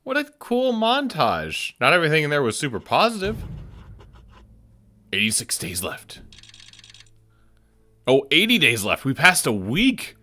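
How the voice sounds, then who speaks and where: A man's voice: on the high side, huge pitch swings, unhurried, long pauses, pushed and bright. A man giving casual, chatty game commentary as host, playfully thinking aloud as he reacts. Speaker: gaming video host.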